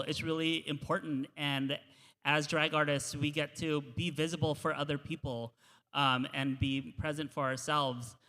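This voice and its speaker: An earnest man drag performer reflects on a personal story at a panel. His voice is bright and forceful and sits a little high. The room adds a long hall reverb. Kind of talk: panel discussion, personal anecdote. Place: university event hall.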